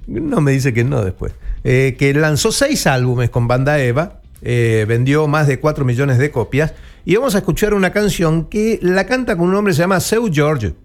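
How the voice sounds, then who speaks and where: A talkative man in his fifties with a Rioplatense Argentine accent runs a relaxed radio chat, joking with his co-host and stumbling over foreign names. His voice sits a little above the usual range for a man. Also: on the high side, normal speed, hardly any pauses. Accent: Rioplatense Spanish. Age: 50s. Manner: chatty, teasing, trips over foreign names. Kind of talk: casual sports and music chat.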